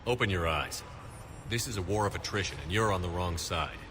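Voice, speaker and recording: A man's voice: deep voice, brisk, background noise, natural voice. An actor playing a hard-edged male military commander in a video game, blunt and confrontational. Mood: stern, challenging. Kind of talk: video game cutscene acting. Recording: music behind the voice.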